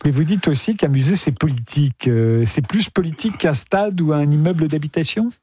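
A man's voice: fairly high pitch, lively intonation, a little fast, mellow, breathy edge, dry recording. A man conducting a cultural interview in an inquisitive, conversational way.

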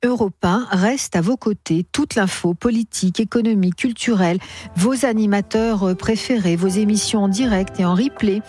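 A woman's voice: medium pitch, normal speed, mellow, soft background music. A woman hosting an evening call-in radio show, chatty and prompting her caller. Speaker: radio host. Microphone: studio microphone.

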